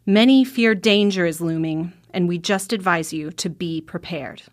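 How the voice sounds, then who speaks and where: A woman playing a composed television news anchor, reading the news in a measured, formal way. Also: on the low side, close to an everyday voice.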